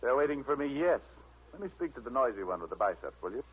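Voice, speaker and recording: An actor with an American accent playing a wry, confident private detective in an old-time radio drama, talking briskly and sardonically. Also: male voice, mid-range voice, big pitch swings, husky. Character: wisecracking, confident male private detective narrating his case. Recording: noisy, tinny old radio recording.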